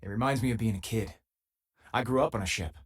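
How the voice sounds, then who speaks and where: An actor playing an easygoing, wisecracking spacefaring companion in a video game, talking in a casual, wry, conversational way. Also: male voice, fairly low pitch, quick pace, husky. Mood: easygoing, friendly. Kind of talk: video game voice acting, conversational companion lines and combat callouts.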